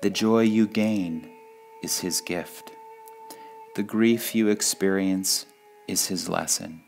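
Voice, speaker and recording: Man, low pitch, very slow pace, devotional reading, measured phrases with pauses. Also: vocal fry, a little mellow, soft background music. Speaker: reader of spiritual sayings.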